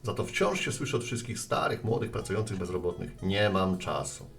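Actor playing hurried friendly male postman, radio play, conversational delivery, lowish gravelly voice. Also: lively intonation, a bit bright. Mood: reflective. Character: friendly, hurried man delivering mail to a bar. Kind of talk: Polish radio play dialogue.

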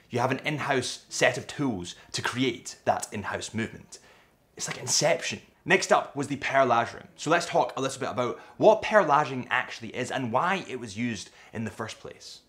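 A man's voice: mid-range voice, moderate pace. A young man with a Scottish accent, enthusiastically presenting a watch factory visit from a small indoor studio set. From Scotland. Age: young adult. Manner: animated, gestures with his hands. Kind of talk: enthusiastic watch vlog narration.

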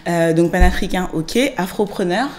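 A young woman with a slightly low voice steers the interview with curious follow-up questions. She often pauses and rephrases as she goes, speaking into a stand mic in a studio. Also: steady tone, a little mellow, slight background noise. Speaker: guest interviewer. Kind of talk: conversational interview. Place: studio set with plants and glass-block wall.

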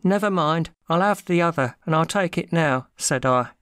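Man acting a frightened, confessing thief, clear and high. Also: moderate pace, fairly bright tone, no room sound, studio quality, natural voice. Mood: anxious recounting. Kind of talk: dramatic audiobook reading.

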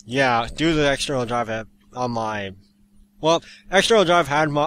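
A man hosting a let's play, giving hyper, goofy and excitable video game commentary with theatrical outbursts. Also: mid-range voice.

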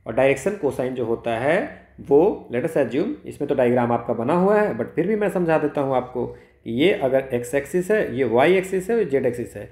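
A man's voice: medium pitch, expressive, normal speed, darkish tone. Indian man tutoring in an echoey room. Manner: instructive, often checking in with short tag questions. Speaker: maths tutor. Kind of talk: explaining exam questions step by step, switching between Hindi and English. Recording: roomy, echoing sound.

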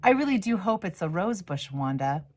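A woman's voice: on the low side, big pitch swings, normal speed, darkish tone, voice pushed up. A woman voicing a doubtful but well-wishing male butcher in a storybook, kindly and skeptical. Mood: curious, kindly.

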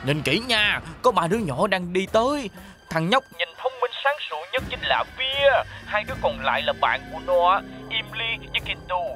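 A man voicing an eager, scheming villain's henchman in a cartoon dub, animated and conspiratorial. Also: sits high, moderate pace, over music.

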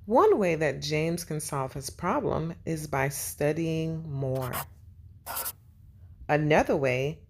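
A woman teaching young children and reading a storybook aloud, speaking simply and deliberately and putting questions to her listeners. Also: low-pitched, lively intonation.

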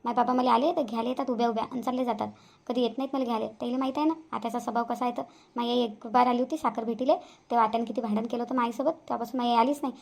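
A woman's voice: fairly high pitch, steady tone, very fast, vocal fry, a little mellow. A woman voicing an earnest, easily hurt young daughter-in-law in a cartoon family drama, with a Varhadi Marathi accent. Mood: aggrieved. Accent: Varhadi (Vidarbha) Marathi.

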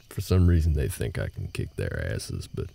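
A man plays a rock-bottom deep cowboy with a heavily gravelled voice. He is a weary, gentle ranch hand in an intimate audio drama, talking haltingly and folksily over night ambience. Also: very animated, full character voice. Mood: relaxed, wry. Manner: halting, low-key, folksy drawl-like phrasing. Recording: night ambience noise behind the voice.

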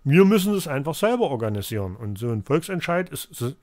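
A man's voice: fairly high pitch, very animated, fast talker, darkish tone, no room sound. A man in his fifties with a cold, hosting a live call-in show from a home studio and lecturing in a rambling way with many fillers. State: has a cold, coughing.

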